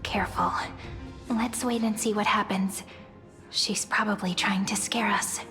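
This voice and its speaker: A woman playing a cautious, polite young female traveler in a video game, speaking in a measured, inquisitive way. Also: medium pitch, steady tone, sharp tone. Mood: wary. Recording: hall reverb, music behind.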